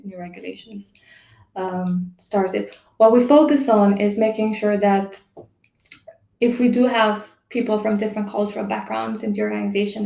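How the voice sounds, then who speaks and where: A woman's voice: mid-range voice, dark tone, echoey room. A woman working as an HR and diversity leader answers a panel question hesitantly, with many fillers.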